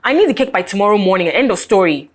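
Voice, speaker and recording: Animated, insistent woman in a drama. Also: medium pitch, fast talker, natural voice. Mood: annoyed. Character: lively, bossy young woman, devoted best friend. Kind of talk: naturalistic screen drama acting.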